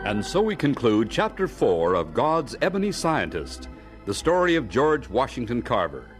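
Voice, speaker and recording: Animated male radio announcer over organ music. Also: mid-range voice, moderate pace. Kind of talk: scripted radio closing narration.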